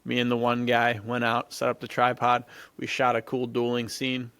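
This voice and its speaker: A man's voice: mid-range voice, monotone, moderate pace, fairly bright tone, smooth, dry recording. A young man co-hosting a podcast, telling stories casually with slangy, enthusiastic talk full of "dude". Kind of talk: casual chat, storytelling.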